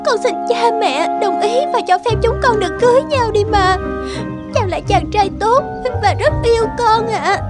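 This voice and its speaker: A woman's voice: very high-pitched, normal speed, loud music behind the voice. A woman playing a devoted young daughter in love, earnest and imploring, in a fairy-tale cartoon dub. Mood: pleading.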